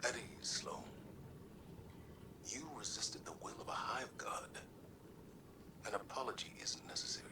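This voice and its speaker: An actor playing a stern older male commander in a video game cutscene, speaking in a measured, reserved, near-whispered way. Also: mid-range voice, steady tone, background noise, natural voice. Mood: reflective.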